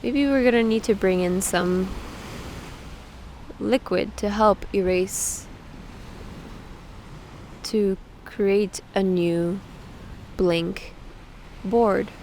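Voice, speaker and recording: A woman guides a meditation at a very slow pace, leaving pauses between short, soothing phrases. Her voice sits at a medium pitch. There is some steady noise in the background of the recording. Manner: slow, pausing, soothing instruction.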